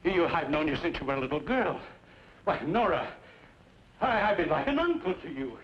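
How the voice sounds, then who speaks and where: Casting: cornered, oily banker for a radio western, halting and pleading, higher-set animated voice. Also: male voice. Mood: frightened pleading. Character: cornered, weaselly banker feigning avuncular kindness. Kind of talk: old-time radio western acting. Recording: dull, noisy old radio recording.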